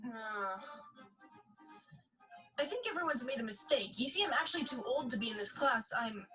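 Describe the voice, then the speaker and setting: A woman's voice: a little high, animated, normal speed, far from the mic, music in the background, lightly acted, poor audio. A woman playing an indignant, blunt teenage girl in a scripted audio drama. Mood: protesting. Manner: blunt, protesting. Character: indignant teenage girl who looks young for her age.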